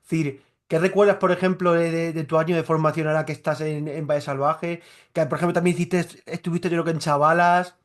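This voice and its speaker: Fast, stumbling male interviewer. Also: on the high side, little pitch movement. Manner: rapid, stumbling questions with many restarts and fillers. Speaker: interviewer, journalist. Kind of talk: interview conversation.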